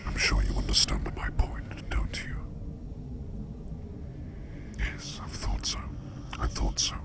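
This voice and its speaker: Man voicing a slow, whispering gravelly demon. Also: extremely low pitch, fairly even intonation, full character voice. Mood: calm, reassuring. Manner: slow, formal, measured, gently reassuring. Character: curious, courteous male sleep demon visiting dreams. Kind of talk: whispered ASMR roleplay.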